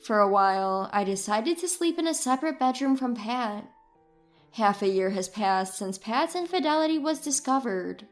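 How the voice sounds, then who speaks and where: A woman's voice: on the high side, expressive, moderate pace, faint music behind. A woman reading a scripted first-person story as its narrator.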